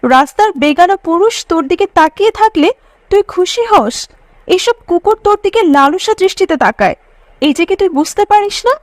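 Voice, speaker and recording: A woman's voice: very high-pitched, lively intonation, a little fast, dry recording. A woman voicing a pious, moralising young college girl in a cartoon story. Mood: scolding. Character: pious, scolding young college girlfriend Mariam.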